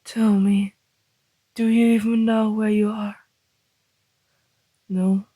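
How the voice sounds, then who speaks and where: Woman voicing a breathy, slow-talking, teasing male vampire. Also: medium pitch, little pitch movement, mellow, no echo. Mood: teasing, intrigued. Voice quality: breathy, half-whispered. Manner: slow, deliberate, flirtatious teasing. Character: suave, teasing male vampire, predatory yet intrigued. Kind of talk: ASMR roleplay monologue.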